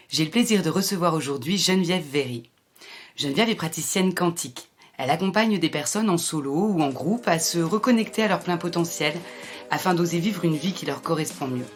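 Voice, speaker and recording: A French-speaking woman hosting a podcast, reading a scripted intro and then chatting eagerly with her guest. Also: low voice. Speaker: podcast host.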